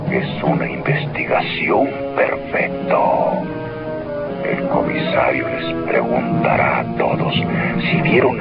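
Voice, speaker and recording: Very deep, gravelly, sinister inner voice. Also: male voice, heavily acted. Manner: menacing, mocking. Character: sinister inner voice of conscience, male, slow, taunting, menacing. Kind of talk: old radio drama acting. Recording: dull lo-fi old radio recording. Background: loud dramatic music.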